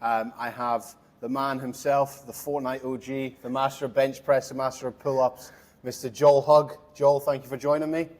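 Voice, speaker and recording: A man's voice: medium pitch, flat delivery, normal speed, a little mellow. A man hosting a casual interview, asking long, rambling questions with many fillers. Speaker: interview host.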